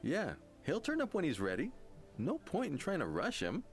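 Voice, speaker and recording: A man's voice: a little high, wildly swooping pitch, moderate pace. A man playing an uneasy, evasive townsman in a video game, hesitant and dismissive. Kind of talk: English video game voice acting. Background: game music.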